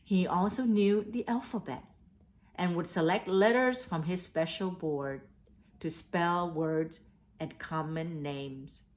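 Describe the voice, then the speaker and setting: A woman hosting a read-aloud for children, telling stories and giving advice in a deliberate way. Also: fairly low pitch. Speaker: host of a children's read-aloud storytelling show. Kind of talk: storytelling and advice addressed to children.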